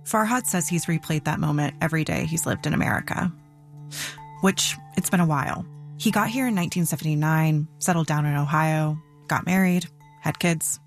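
A woman reporter narrating a news podcast story in a calm, measured way, with faint music underneath. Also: low-pitched. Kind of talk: scripted narration.

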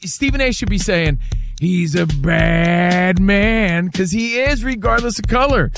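High, animated American sports radio man. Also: takes it slowly, gravelly. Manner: slangy, opinionated. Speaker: sports talk radio host. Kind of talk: casual sports commentary. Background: music.

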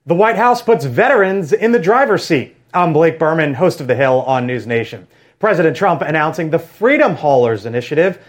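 A male news anchor reads a scripted news brief with a crisp, measured delivery. His voice sits a little high for a man.

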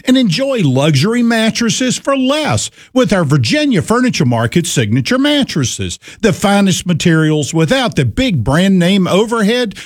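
High, upbeat male ad announcer. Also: no room sound. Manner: smooth upbeat sales pitch. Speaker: commercial announcer. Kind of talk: scripted radio ad read. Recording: dry studio voice-over.

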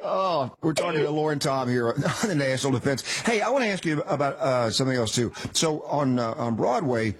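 A man's voice: mid-range voice, animated, a little fast, hardly any pauses, husky. An American man hosting a radio interview in a casual, chatty, admiring and rambling way.

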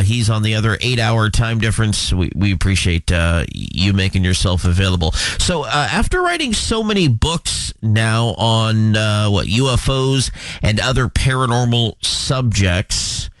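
A man with a somewhat low voice hosts a talk show, moving from news updates to a guest interview. His delivery is measured, dotted with "uh" fillers. The sound is dry and close, with no room behind it. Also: dry recording. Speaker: host.

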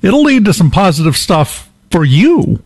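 A man's voice: sits high, a little slow, husky. Emphatic man hosting a radio chat. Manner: emphatic, animated, joking. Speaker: radio host. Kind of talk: casual radio interview.

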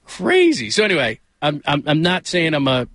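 A man's voice: on the high side, huge pitch swings, moderate pace, a bit bright, dry recording, studio quality. A man hosting a casual sports talk radio show, rambling conversationally through stories with self-deprecating asides. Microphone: close studio microphone.

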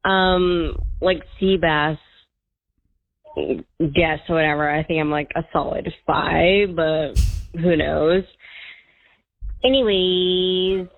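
A woman's voice: on the low side, fairly even intonation, slow, pauses often, no echo. A woman leaving a flirty, rambling voicemail as a caller. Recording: tinny phone voicemail.

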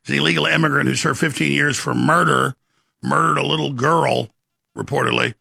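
A man's voice: mid-range voice, steady tone, fairly quick, creaky, sharp tone, slightly rough, no echo, clean studio sound. A man hosting a political commentary show, ranting in a heated, sarcastic way. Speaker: host.

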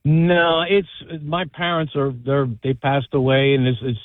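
A man calling in to a radio show over the phone, telling stories in a halting way and repeating words as he explains. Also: medium pitch, no room sound. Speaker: caller. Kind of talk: phone-in conversation. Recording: tinny phone line.

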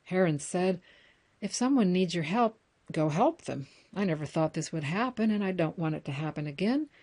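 A woman with a slightly low voice reads news stories and book passages aloud. Her delivery is steady and deliberate, and she corrects her own small slips as she goes. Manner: measured reading with small self-corrections. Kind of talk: reading excerpts and news articles aloud.

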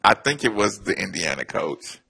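A man co-hosting a casual sports talk show, telling animated stories with hushed asides. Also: deep voice, moderate pace, creaky, breathy edge, poor audio.

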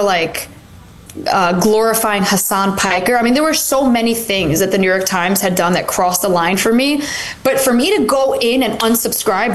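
Chatty woman in her twenties. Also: medium pitch. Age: 20s. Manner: conversational and opinionated, lots of "like". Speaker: co-host. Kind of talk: casual political commentary.

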